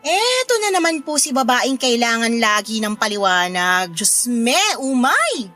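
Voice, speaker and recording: A woman's voice: fairly high pitch, big pitch swings, unhurried, pushed and bright, soft background music, heavily acted. An actress with a Filipino accent playing a sarcastic, spiteful, unrepentant neighbour woman in a radio drama. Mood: mocking, smug. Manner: mocking, flippant, dismissive.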